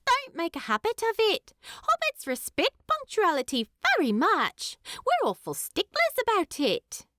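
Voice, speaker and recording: A woman voicing a prim, teasing young hobbit lass in an audiobook, with a brisk, pert manner. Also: very high voice, huge pitch swings, bright, forceful tone, no echo, clean studio sound, voice pushed up, exaggerated voice. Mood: brisk, chiding. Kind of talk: audiobook character voice.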